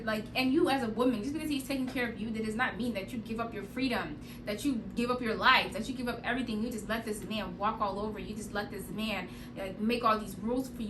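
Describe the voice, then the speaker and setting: Emphatic woman in an echoey room. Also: a little high. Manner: emphatic, repetitive, preachy. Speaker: podcast co-host. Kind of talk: casual podcast chat. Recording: echoey room with background noise.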